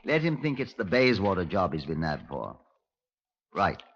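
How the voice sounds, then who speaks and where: British police inspector, expressive and formal. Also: male voice, deep voice, moderate pace, breathy edge, full character voice. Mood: brisk, authoritative. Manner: formal, dry, authoritative. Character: measured, wry, authoritative male Scotland Yard police inspector. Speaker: actor playing the lead inspector and narrator. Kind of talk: 1950s radio drama narration and dialogue. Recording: dull, lo-fi 1950s radio recording.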